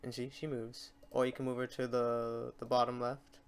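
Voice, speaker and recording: A man hosting a software tutorial in a casual, unscripted way, talking to himself as he works through the steps. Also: medium pitch, level tone, normal speed, a little hiss or hum. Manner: informal, talks to himself while clicking through steps. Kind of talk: casual unscripted screen-recorded tutorial narration.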